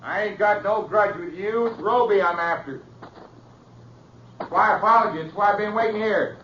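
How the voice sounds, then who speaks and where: Vengeful cowboy, American, rustic, stubborn, higher male register; muffled 1950s radio western. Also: moderate pace, fairly bright tone. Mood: defiant. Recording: dull, noisy vintage radio recording.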